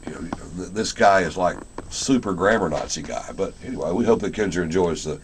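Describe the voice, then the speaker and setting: Low-voiced American man hosting casual radio. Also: brisk, slight background noise. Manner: chatty, informal, inquisitive. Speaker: radio show host. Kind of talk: casual interview and on-air promotion. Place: radio station.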